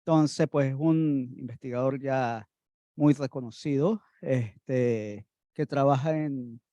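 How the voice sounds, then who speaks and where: A man's voice: medium pitch, takes it slowly, mellow, dry recording, muffled recording. A man hosting an academic colloquium, introducing the guest speaker hesitantly and with many filler words. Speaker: colloquium host. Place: lecture room.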